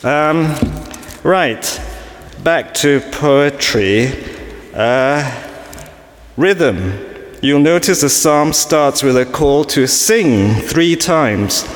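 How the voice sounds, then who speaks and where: A man from Singapore giving a sermon at a family church service, chatty and self-mocking, with frequent ums and asides. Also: mid-range voice. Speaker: preacher. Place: large church. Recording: echoey church with long reverb.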